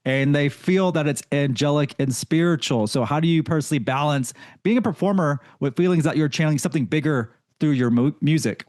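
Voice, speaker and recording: Friendly host with a dry, close sound. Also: male voice, fairly high pitch, brisk, hardly any pauses, a little mellow, no room sound. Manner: friendly, laughs between questions, says "man" a lot. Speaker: interview host. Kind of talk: casual interview chat.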